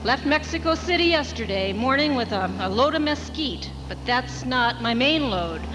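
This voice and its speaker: A woman playing a tough, brash long-haul trucker with gruff, folksy, bossy talk in a comic radio drama. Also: high voice, animated, normal speed, poor audio. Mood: folksy, matter-of-fact. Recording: music behind, 1980s live radio broadcast.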